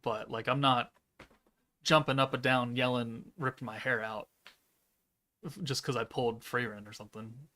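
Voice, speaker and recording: A man in his thirties streaming a game from home, chatting casually and reading chat aloud in a monotone, deadpan way. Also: mid-range voice, moderate pace, pauses often. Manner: monotone, laid-back, deadpan. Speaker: gaming streamer.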